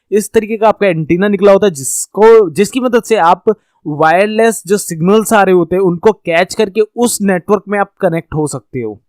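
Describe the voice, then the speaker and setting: A man with an Indian accent and a high voice teaches in an informal, explanatory way. He goes step by step, restating each point for his learners. The recording is close and dry. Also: no echo. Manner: informal, repetitive step-by-step explaining with check-in tags. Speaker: instructor. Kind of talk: explanatory tech lesson with slides, addressing learners.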